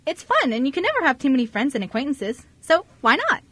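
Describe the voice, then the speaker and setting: A high, girlish voice from an actress playing a friendly teenager in a radio play. She is chatty and eager, with a bubbly, giggly way of talking to new people. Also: huge pitch swings, fast talker, bright, forceful tone, no room sound, full character voice. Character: bubbly, friendly fifteen-year-old girl working in family cafe. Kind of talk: amateur radio drama dialogue.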